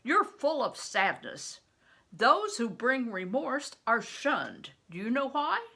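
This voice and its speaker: A woman voicing a big, gruff, jovial street entertainer in a storybook, speaking emphatically and deliberately. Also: a little high, sing-song, bright, forceful tone, pitched above the performer's own voice, heavily acted. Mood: earnest, advising.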